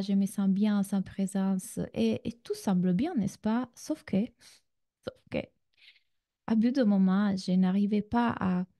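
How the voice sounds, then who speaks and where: A woman with an Italian accent and a very mellow, dark-toned voice at a medium pitch. She talks like a coach leading an online class, conversationally, turning to her listeners with direct questions. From Italy. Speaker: relationship coach, masterclass host. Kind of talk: conversational online masterclass talk.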